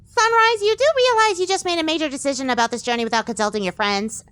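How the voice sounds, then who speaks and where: A woman's voice: high voice, quick pace, fairly bright tone, no room sound. A woman voicing a bubbly, chiding imaginary pony companion in an audio drama.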